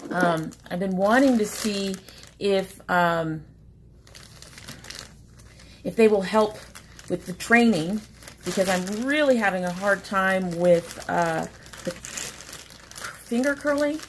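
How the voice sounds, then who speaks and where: A woman's voice: medium pitch, takes it slowly, pauses often, a little hiss or hum. A woman in her forties chatting casually through an unboxing, rambling and catching herself mid-sentence, a bit sniffly from allergies, recorded on a webcam in a small room at home. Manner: chatty, rambling, self-interrupting. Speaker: beauty vlogger. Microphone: webcam at desk.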